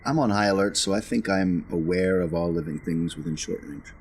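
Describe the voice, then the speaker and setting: A man with a fairly low voice plays a tabletop roleplaying game. He talks casually, in and out of the game, over clear background noise. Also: brisk, a little mellow.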